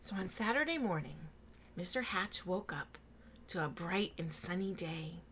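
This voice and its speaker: A woman reading a picture book aloud to children in an animated, dramatic way, dropping into hushed asides. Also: lowish voice, a little slow, husky. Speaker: children's story reader.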